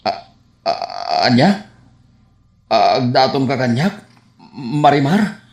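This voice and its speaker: An actor playing a bashful, stammering young bachelor in a radio comedy drama, with a Filipino accent. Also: male voice, medium pitch, sing-song, slow. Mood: flustered, bashful. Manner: stammering, hesitant, flustered. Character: bashful, flustered, stammering young bachelor who fears women. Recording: echoey room sound.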